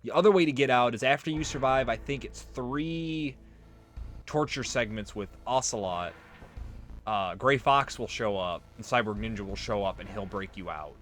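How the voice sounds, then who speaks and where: A man's voice: medium pitch, normal speed, a bit bright. A man giving casual, rambling gameplay commentary, explaining things as he plays and swearing freely. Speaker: let's play host.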